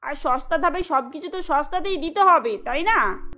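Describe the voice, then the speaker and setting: A woman's voice: sits high, lively intonation, moderate pace, bright, forceful tone. A woman voicing a practical young wife in a cartoon, reasoning patiently and persuasively. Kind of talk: cartoon voice acting.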